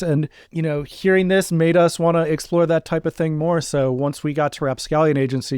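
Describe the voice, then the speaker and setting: A man's voice: medium pitch, darkish tone, smooth, no echo, clean studio sound. A man co-hosting a podcast, talking casually and explaining things in a conversational way. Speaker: podcast co-host and audio drama creator.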